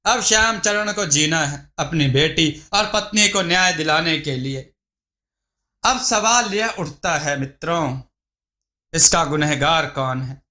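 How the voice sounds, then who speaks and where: A man narrating a scripted moral story as a storyteller, in a measured, dramatic manner. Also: a little high, sharp tone.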